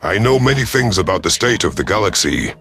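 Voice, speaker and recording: Man voicing a deep, proud alien rebel leader. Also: big pitch swings, fairly bright tone, soft background music, full character voice. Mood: calculating pride. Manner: measured, formal, imperious. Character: proud veteran male alien rebel leader, cold and calculating.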